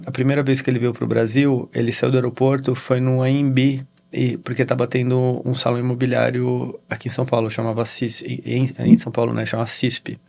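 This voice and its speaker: A middle-aged Brazilian man with a Brazilian Portuguese accent, a guest in a casual interview, telling a story in halting sentences with frequent "né?" tags. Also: mid-range voice, creaky, a little mellow. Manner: halting, with many restarts and "né?" tags. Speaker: interview guest, real estate executive.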